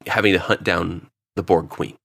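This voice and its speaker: A man's voice: deep voice, moderate pace, gravelly, a bit bright, no echo, studio quality. A man co-hosting a podcast, chatting casually and jokily while giving his opinions in a review. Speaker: podcast co-host. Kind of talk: casual review chat with anecdotes.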